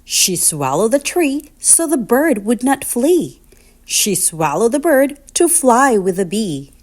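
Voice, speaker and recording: Female storybook reader for young children: higher-pitched, unhurried, very lively sing-song delivery. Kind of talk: read-aloud picture book narration.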